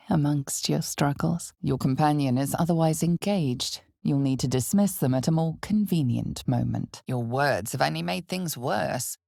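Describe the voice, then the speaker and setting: A woman voicing an ominous, knowing narrator of a dark fantasy game, speaking in a measured, dramatic, often hushed way. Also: very deep voice, creaky, no room sound, studio quality, exaggerated voice.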